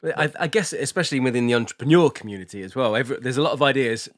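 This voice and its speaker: A man hosting a podcast from a sauna house, asking questions in a relaxed way, laughing easily and repeating words as he starts. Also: medium pitch, rapid-fire, dry recording, clean studio sound. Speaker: podcast host. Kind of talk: casual interview chat.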